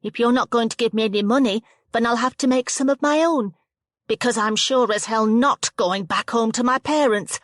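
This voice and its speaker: A woman voicing a desperate young woman who turns shrill and tearful when upset. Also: moderate pace, no room sound, studio quality, close to an everyday voice. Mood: defiant.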